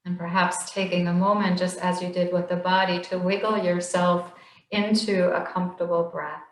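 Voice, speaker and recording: A woman slowly leading a guided body scan meditation in a gentle, soothing voice with long pauses. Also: lowish voice, flat delivery, roomy sound, low-quality recording. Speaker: meditation instructor.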